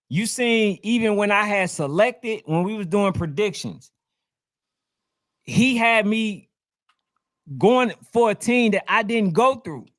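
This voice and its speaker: A male sports chat host with a high-pitched voice. He talks in loose slang, arguing his case and circling back to repeat his points. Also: a bit bright. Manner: slangy, explanatory, repeats himself. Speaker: sports talk show host. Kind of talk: casual, heated sports chat.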